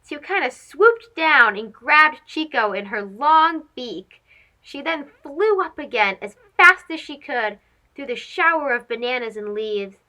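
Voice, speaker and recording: High, bright-voiced woman reading a story. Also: expressive, unhurried, poor audio. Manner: dramatic, clearly phrased. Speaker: storyteller, host of a read-aloud show. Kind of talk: expressive children's story narration.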